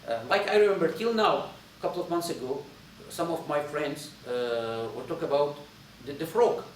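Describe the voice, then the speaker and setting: A man with a Syrian accent, a native Arabic speaker, gives an informal lecture in a halting, repetitive way, searching for words. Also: fairly high pitch. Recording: roomy echo, distant mic, faint noise.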